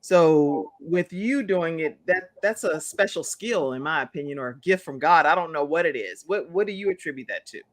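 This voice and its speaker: A woman's voice: deep voice, animated, moderate pace, few pauses. A woman from the United States interviewing a guest conversationally as a talk show host.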